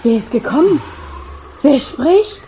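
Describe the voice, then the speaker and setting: Helles, ungestümes Feuermädchen Feuerlilli. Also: female voice, high voice, slow pace, a little hiss or hum. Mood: curious, excited. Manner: girlish, excitable, wide-eyed. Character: young, restless, eager girl fire spirit Feuerlilli. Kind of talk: German children's radio play acting.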